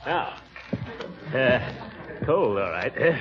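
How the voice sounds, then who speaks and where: A man's voice: mid-range voice, big pitch swings, takes it slowly. A man playing a pompous, lecturing middle-aged bachelor uncle who chuckles, in 1940s radio acting style, on a dull old radio recording.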